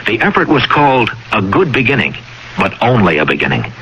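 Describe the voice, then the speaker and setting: A man's voice: lowish voice, very animated, brisk, muffled recording. A man narrating a scripted public service announcement in storytelling style on radio. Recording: old radio broadcast, loud background noise.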